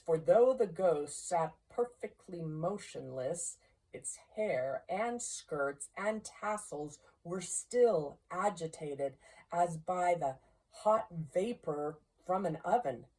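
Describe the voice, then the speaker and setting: A woman narrates a literary story expressively at an unhurried pace. Her voice is on the low side and sits some way back from the microphone. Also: expressive, dark tone, husky, far from the mic, poor audio. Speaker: story reader.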